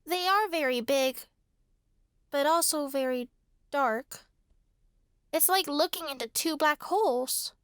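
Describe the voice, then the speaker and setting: A woman's voice: high-pitched, takes it slowly, pauses often, fairly bright tone, dry recording, clean studio sound. A woman playing a vain, fussy, spoiled little girl in a radio drama, with a petulant, blunt manner. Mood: wary. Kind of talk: horror radio drama acting.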